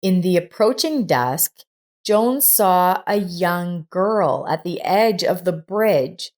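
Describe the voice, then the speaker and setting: A woman reading a scripted story in a measured storytelling manner. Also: fairly low pitch, lively intonation, a little mellow. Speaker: story narrator. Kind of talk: scripted audiobook-style narration.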